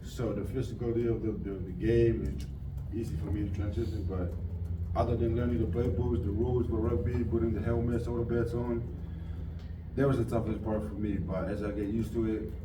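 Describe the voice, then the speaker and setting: A young man from Tonga, a college football player, giving relaxed, plain answers with fillers at a press conference. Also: fairly low pitch, brisk. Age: young adult. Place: press room. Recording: echoey room, distant mic, muffled, noisy.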